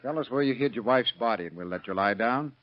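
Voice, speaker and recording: An actor playing a curt, wry, sardonic police detective in 1930s radio drama style. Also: male voice, medium pitch, expressive, fast, lightly acted. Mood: sardonic, impatient. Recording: muffled vintage radio recording.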